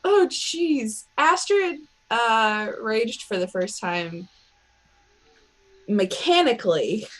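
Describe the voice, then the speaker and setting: A woman player on a tabletop game show giving a casual, halting recap as she searches for words. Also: fairly high pitch, expressive.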